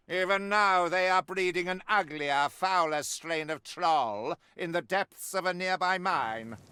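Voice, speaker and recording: A man's voice: high-pitched, sharp tone, clear, resonant tone, exaggerated voice. A male actor playing a stern, fanatical witch hunter in a video game, declaiming in pompous, archaic, theatrical speech. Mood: grim, brooding.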